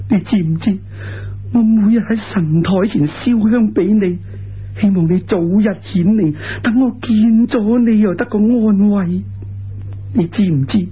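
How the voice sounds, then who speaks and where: A man's voice: sits high, measured pace, slightly rough, highs cut off, exaggerated voice. A man voicing a grieving, devoted wife with a Cantonese accent, plaintive and pleading, in a told folk tale. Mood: tearful pleading.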